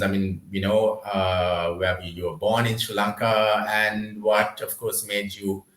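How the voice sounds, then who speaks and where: A man hosting an interview show, speaking in a halting way with many filler sounds as he introduces and questions his guest. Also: on the low side, a bit bright. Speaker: podcast host. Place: Adelaide. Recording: roomy echo.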